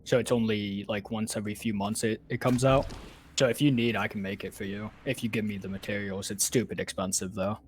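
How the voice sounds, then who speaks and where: A man's voice: on the low side, little pitch movement, brisk, a little hiss or hum. A man chatting casually with fellow players over gaming voice chat. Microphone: online voice chat.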